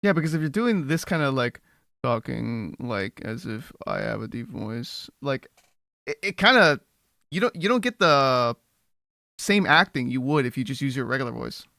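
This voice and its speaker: A man chatting casually during a watchalong stream, retelling scenes in an animated way. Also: mid-range voice, moderate pace, creaky, no room sound, studio quality. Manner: animated retelling, laughs often. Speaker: watchalong co-host. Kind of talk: casual reaction chat.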